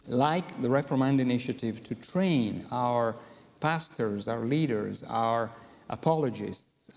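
A man with an Italian accent delivers a conference talk in English, which is not his first language. He speaks in a middle register, halting often on fillers as he gathers his words. His voice rings out with a long hall reverb. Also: medium pitch, a little mellow. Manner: frequent hesitations and fillers. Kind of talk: conference lecture.

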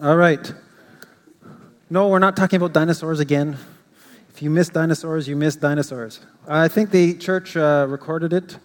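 A man's voice: on the high side, fairly even intonation, normal speed. An older English Canadian man giving an informal, rambling church talk, with frequent fillers and restarts. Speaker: guest speaker. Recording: reverberant church hall.

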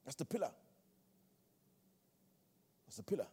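Slow, mellow man preaching in a hall. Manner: rhythmic repetition, call-and-response phrasing. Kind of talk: sermon. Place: large church hall. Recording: long church hall reverb.

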